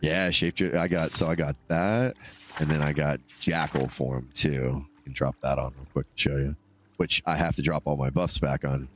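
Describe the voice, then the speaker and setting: A man's voice: a bass voice, fairly even intonation, normal speed, slight background noise. A male streamer chatting casually with his viewers while he plays, stuffed up from a cold and rambling between topics. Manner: rambling, chatty, answers chat comments. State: stuffed-up nose. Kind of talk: casual live-stream chat while gaming.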